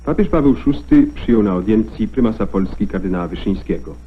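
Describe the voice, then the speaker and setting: Low, husky man reading news. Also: fast talker. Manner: steady, formal. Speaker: radio newsreader. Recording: muffled old radio recording.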